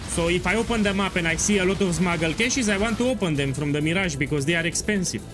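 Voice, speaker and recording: A man explains his gameplay choices on stream in a chatty, repetitive way. His voice sits a little high for a man, with clear music playing behind him. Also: fast. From Romania. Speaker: game streamer. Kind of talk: casual gameplay commentary.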